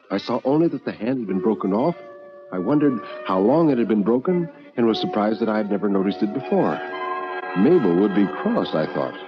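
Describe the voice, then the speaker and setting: A man playing a meek, self-deprecating bachelor, telling his story as measured, wistful first-person radio narration. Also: lowish voice, dull audio, lightly acted. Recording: 1950s radio recording with music underneath.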